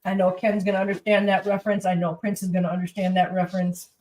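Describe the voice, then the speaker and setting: A chatty woman at a middle pitch, cooking in her home kitchen and rambling to her viewers and her pets. She sounds congested. Her voice sits a little back from the mic. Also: monotone, quick pace, a little mellow, far from the mic. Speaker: cooking livestream host. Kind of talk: casual chat while cooking.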